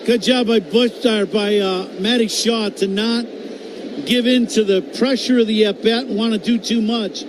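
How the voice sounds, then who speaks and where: Male radio baseball analyst, high-pitched, chatty and opinionated, with clear ballpark noise. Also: normal speed. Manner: conversational, opinionated. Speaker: color commentator. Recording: noisy stadium ambience. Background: steady ballpark crowd noise.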